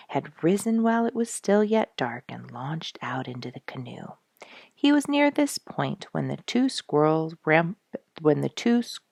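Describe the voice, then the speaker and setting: A woman reading a story aloud to children as a storyteller, expressively and with hushed emphasis. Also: sits low, vocal fry, no echo, studio quality.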